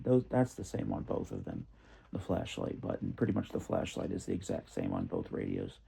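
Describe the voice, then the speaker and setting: A man giving a casual spoken product comparison, thinking aloud in a halting, methodical way. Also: on the low side, vocal fry, mellow, slight background noise. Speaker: product reviewer.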